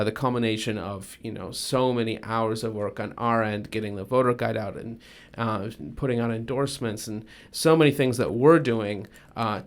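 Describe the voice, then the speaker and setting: Chatty male podcast co-host. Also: medium pitch. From United States. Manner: relaxed, chatty, lots of fillers. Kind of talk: casual podcast chat.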